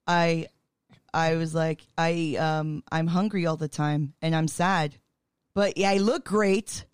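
A woman's voice: deep voice, steady tone, takes it slowly, clean tone, dry recording, studio quality. A woman of thirty-eight co-hosting a podcast, wry and teasing in casual chat. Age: 30s. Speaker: podcast co-host.

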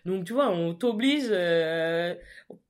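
A woman's voice: on the low side, animated, slow pace. A woman hosting a casual book chat, talking in a slangy, chatty way with many fillers and restarts. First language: French. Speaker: podcast host.

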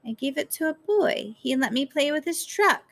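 Woman voicing a high, whiny little boy. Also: expressive, normal speed, vocal fry, a bit bright, exaggerated voice. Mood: guilty.

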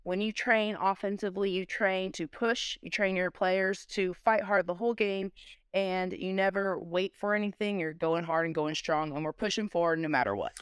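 Woman coach with very clear, smooth voice. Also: medium pitch, moderate pace, no echo, studio quality. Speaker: sports coach being interviewed. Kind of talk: interview answers, motivational coach talk.

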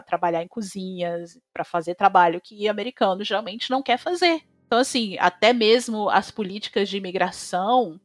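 A woman from Brazil with a Brazilian Portuguese accent, a chef talking as a guest in a casual interview, opinionated and animated, her voice jumping up when she stresses a point. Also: fairly low pitch, no echo. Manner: animated, opinionated, rising sharply when emphatic. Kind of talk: casual conversation.

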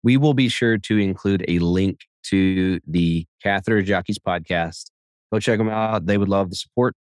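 A man's voice: low-pitched, moderate pace, no echo, clean studio sound. A man in his thirties co-hosting a podcast, joking with his co-host and wrapping up the episode in an upbeat way. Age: 30s. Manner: teasing, upbeat, wraps up the show. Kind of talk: podcast conversation.

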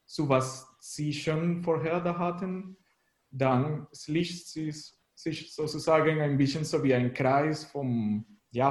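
Man, Venezuelan Spanish accent, slow hesitant delivery, slightly high pitch, casual interview guest, searching for words. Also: echoey room, poor audio. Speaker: interview guest, musician and graphic designer.

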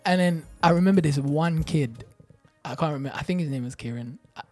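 A young adult from Jamaica, telling stories in a casual podcast chat, animated and laughing a lot, with plenty of slang. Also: mid-range voice, fairly quick, faint music behind.

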